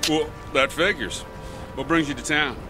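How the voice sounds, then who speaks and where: A man's voice: fairly high pitch, moderate pace, over music, lightly acted. An actor playing a wisecracking, slightly sinister male stranger who teases in a sly way, in a radio drama. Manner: teasing, sly. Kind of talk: 1960s-style radio drama acting.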